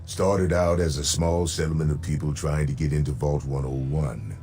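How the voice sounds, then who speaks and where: Very deep, gruff frontier sheriff. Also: male voice, steady tone, moderate pace, dark tone, a little hiss or hum. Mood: plainspoken, wry. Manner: folksy, blunt, cursing. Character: gruff, plainspoken frontier town sheriff, wary but decent. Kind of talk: video game character dialogue. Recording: music behind the voice.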